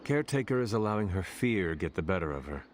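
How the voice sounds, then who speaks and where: An actor playing a calm, resolute demon hunter in a video game, measured, earnest and slightly formal. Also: male voice, sits low, background noise. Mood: measured, reassuring. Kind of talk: dramatic video game acting.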